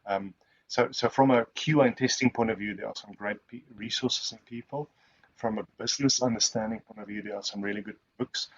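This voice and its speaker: A man whose first language is Afrikaans answers questions in a webinar, rambling and restarting his sentences. His voice sits fairly low. The audio is somewhat muffled and lo-fi. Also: fairly even intonation, fairly quick, breathy edge. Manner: rambling, many restarts and fillers. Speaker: guest speaker.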